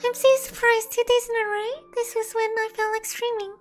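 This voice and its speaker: A woman's voice: extremely high pitch, flat delivery, brisk. A woman VTuber chatting cutely on a livestream while playing a game. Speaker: VTuber streamer. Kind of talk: livestream chat over a game. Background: game music.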